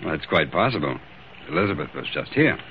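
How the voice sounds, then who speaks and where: An actor playing a concerned but guarded doctor, polite, measured and probing, in old-time radio drama style. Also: male voice, rumbling low, big pitch swings, full character voice. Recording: tinny, very noisy old radio broadcast.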